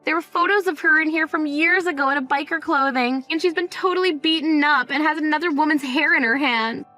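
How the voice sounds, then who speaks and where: A woman's voice: sits high, brisk, sharp tone, soft background music, voice pushed up. A woman playing a frightened teenage daughter, whiny and pleading, in a dramatized story. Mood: alarmed, tattling.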